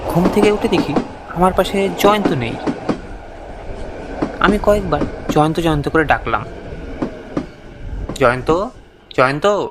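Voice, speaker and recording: Casting: male first-person narrator for horror tale, expressive and suspenseful, slightly high register, heavy background noise. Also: normal speed, long pauses. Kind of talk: dramatic scripted horror storytelling.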